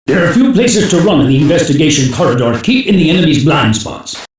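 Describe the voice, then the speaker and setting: An actor playing a stern, commanding older security chief who gives terse, authoritative briefings in an anime game. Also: male voice, on the high side, very animated, fairly quick, slight background noise. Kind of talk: English-dubbed anime game voice acting. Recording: very echoey, reverberant game audio.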